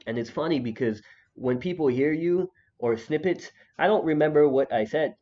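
A man's voice: fairly high pitch, moderate pace. A young man hosting a podcast, chatting in a laid-back, joking way with many restarts. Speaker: podcast host. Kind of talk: casual chat. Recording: dull lo-fi podcast audio.

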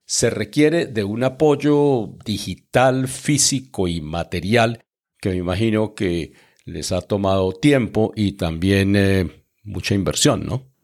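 Casting: male interview host, lowish pitch, formal and measured phrasing. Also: a little mellow. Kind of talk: interview questioning.

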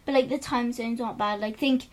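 A woman's voice: on the high side, fairly even intonation, fairly quick. Upbeat teen girl co-host. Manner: encouraging, upbeat, filler-heavy. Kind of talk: casual chat.

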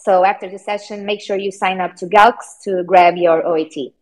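A woman's voice: mid-range voice, steady tone, moderate pace. A woman filling in as host of an informal community session, friendly and slightly hesitant, with many ums. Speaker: stand-in host, marketing head. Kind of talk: informal live session hosting.

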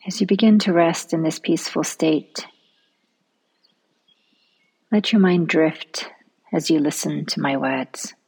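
A woman leading a guided relaxation meditation in a soft, soothing manner, often trailing off into a near-whisper. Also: low-pitched, takes it slowly, long pauses, slightly rough, rough recording.

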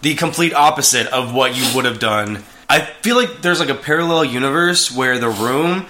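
A man's voice: mid-range voice, normal speed, pushed and bright. A man co-hosting a casual movie chat, rambling with lots of fillers and restarts. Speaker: podcast co-host.

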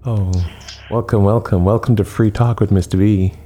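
A man hosting a talk show interview, speaking slowly and emphatically and repeating his phrases for effect. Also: fairly low pitch, steady tone, dark tone, soft background music. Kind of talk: radio-style interview.

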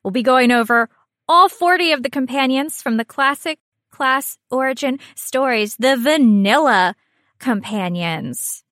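Slow-paced, playful woman podcaster, close and dry. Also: high-pitched, fairly bright tone, dry recording. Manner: playful, jokey. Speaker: podcast co-host. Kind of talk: casual comedic podcast banter.

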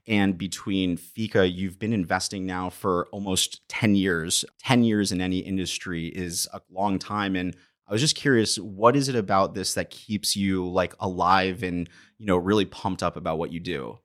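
A man's voice: low voice, steady tone, normal speed, a bit bright. A man hosting a podcast interview, asking curious, rambling questions full of fillers. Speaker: podcast host.

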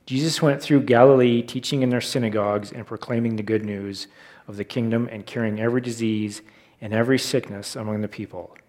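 A man's voice: mid-range voice, fairly even intonation, fairly quick, darkish tone. A man reading scripture aloud as narration. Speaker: volunteer scripture reader.

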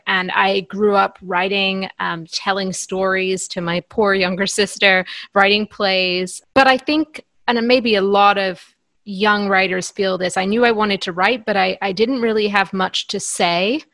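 A woman's voice: mid-range voice, a bit bright, dry recording. A woman in her thirties from Northern Ireland speaks as a guest author on a podcast. She talks in an articulate, earnest way, sometimes restarting a phrase. Her voice is recorded dry and close, with no room sound. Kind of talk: podcast interview.

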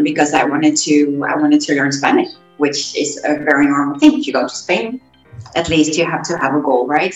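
A woman from the Netherlands, a guest telling her life story in an animated, self-correcting way. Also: low-pitched. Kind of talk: casual interview storytelling. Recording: roomy echo, faint music.

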